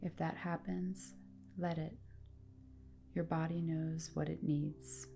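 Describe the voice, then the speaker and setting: Calm woman doing a yoga nidra style guided meditation, even tone, bit of creaky fry, soft music behind. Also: low voice. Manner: calm, soothing, deliberate phrasing with long pauses. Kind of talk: guided relaxation meditation, scripted narration.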